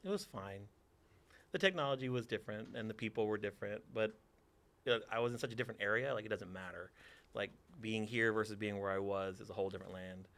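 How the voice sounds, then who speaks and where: A man's voice: lowish voice, quick pace, a bit bright. A man in his forties from Texas, a theater teacher answering interview questions with dry, teasing humor.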